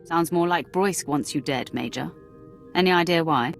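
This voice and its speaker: A female Sith warrior from a video game. She sounds cool, measured and authoritative, with dry confidence, over faint music. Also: low voice, vocal fry. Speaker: player character in a video game. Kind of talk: scripted video game dialogue acting.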